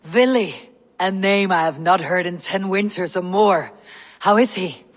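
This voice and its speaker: An actress playing a steady, bold and wry Norse warrior leader in a video game, measured and plainspoken. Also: female voice, lowish voice, close to an everyday voice. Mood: curious, pleased. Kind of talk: dramatic video game acting.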